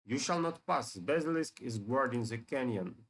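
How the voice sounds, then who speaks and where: A man's voice: mid-range voice, expressive, normal speed. A man voicing an obedient giant basilisk guard from a fantasy game, in a stubborn, declaring manner. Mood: stern.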